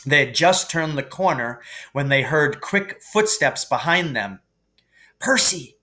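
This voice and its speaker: A man reading a storybook aloud as a teacher would, in expressive narration. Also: fairly high pitch, measured pace.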